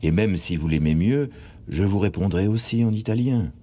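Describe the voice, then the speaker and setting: An actor reading the letter of an eighteenth-century male mathematician to his brother, in a formal, measured, dignified manner with a deep, husky voice. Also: dark tone. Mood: warm, formal. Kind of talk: read-aloud historical letter.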